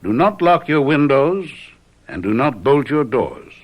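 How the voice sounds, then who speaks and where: An actor playing a confident, theatrical detective in a radio mystery drama, speaking in a deliberate, emphatic, urbane way. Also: male voice, mid-range voice, sing-song. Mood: assured, sly. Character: confident, theatrical male detective lecturing his companions.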